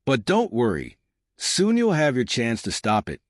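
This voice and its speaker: A man's voice: medium pitch, wildly swooping pitch, measured pace, no room sound, clean studio sound. A man voicing a cruel, obsessive villain who gloats with menace in an audiobook. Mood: sly, menacing. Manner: menacing, triumphant. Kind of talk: audiobook character acting.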